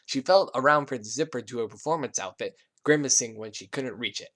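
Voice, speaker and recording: A man's voice: mid-range voice, fairly quick, a bit bright. A man reads fan fiction aloud in a mid-range voice. He narrates a scripted story as an amateur reader.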